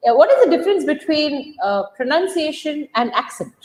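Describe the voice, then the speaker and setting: A woman host works through her questions hesitantly and pads them with fillers. Her voice is on the high side. It rings in a roomy, echoey space. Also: sing-song, fairly quick, slight background noise. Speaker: interviewer, host. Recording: roomy echo.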